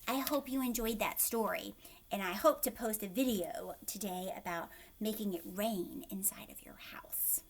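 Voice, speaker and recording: A woman teacher reading aloud to young children, expressive, putting questions to the listener and often dropping into a hushed voice. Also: medium pitch, a little hiss or hum. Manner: expressive, asks questions and pauses as if answered.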